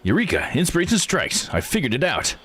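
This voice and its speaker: A man's voice: on the high side, huge pitch swings, brisk, a bit bright, faint music behind, voice pushed up. A man reading aloud the lines of an eager, self-assured investigator in a visual novel, in a theatrical, declarative way. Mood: triumphant. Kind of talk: reading game dialogue aloud.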